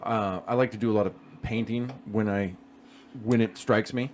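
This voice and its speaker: A man with a somewhat low voice chats casually as a podcast co-host. Faint background noise sits behind his talk. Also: fairly even intonation, moderate pace. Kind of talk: casual chat.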